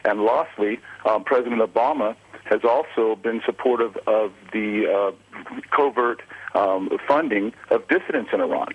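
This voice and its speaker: A man's voice: on the low side, moderate pace, husky. A man from Los Angeles calling in by phone, earnest and intense, with hesitations. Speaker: caller. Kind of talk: phone-in comment. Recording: muffled phone line.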